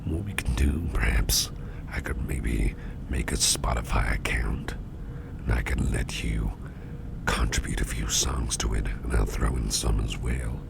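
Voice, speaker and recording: A man playing an attentive, easygoing businessman boss in a soft, breathy, whispery ASMR roleplay, unhurried and soothing, murmuring close to the microphone. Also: very noisy, full character voice. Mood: relaxed, musing. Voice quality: very low, gravelly, breathy near-whisper. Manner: unhurried, soothing, indulgent, musing aloud.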